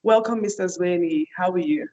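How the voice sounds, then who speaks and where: A woman's voice: on the low side, normal speed, warm tone, lo-fi sound. A woman hosting an online TV interview, chatty and enthusiastic, with frequent fillers. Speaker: host.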